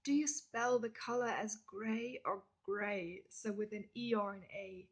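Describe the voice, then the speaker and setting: A young woman from Germany with a German accent, chatting casually through a list of questions and often dropping into soft whispered asides. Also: medium pitch, steady tone, a little slow. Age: young adult. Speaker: vlogger. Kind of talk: casual question-and-answer tag video.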